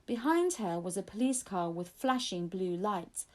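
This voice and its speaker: A woman's voice: medium pitch, lively intonation, unhurried. A woman reading a children's story aloud as an audiobook narrator. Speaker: audiobook reader.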